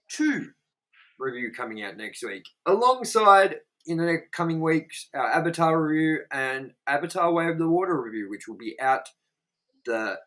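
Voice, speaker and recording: A man's voice: on the high side, sharp tone, low-quality recording. A man hosting a casual movie review show, jokey and loose, swearing freely. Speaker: show host.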